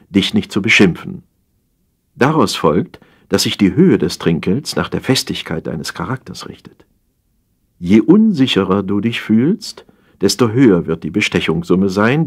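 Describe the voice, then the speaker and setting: A man narrating a humorous satire as an audiobook reader, in a wry, theatrical storytelling manner with drawn-out emphasis. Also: on the low side, breathy edge.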